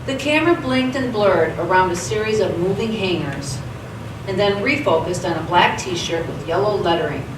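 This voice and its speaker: A woman's voice: lowish voice, expressive, normal speed. A woman reading scripted narration as the narrator of a live radio theatre play. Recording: echoey theatre, distant mic, clear background noise.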